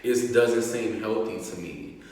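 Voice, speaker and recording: Low-voiced chatty man in an echoey room. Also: unhurried, distant mic. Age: late 20s. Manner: chatty, animated, frequent "like" fillers. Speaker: vlogger host. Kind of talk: casual chat.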